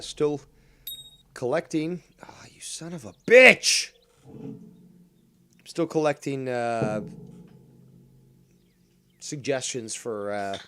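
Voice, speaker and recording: A man's voice: lowish voice, darkish tone, slight background noise. A man livestreaming a game with rambling live commentary, theatrical and mock-villainous, crude and full of swearing. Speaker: livestreamer playing a fishing game.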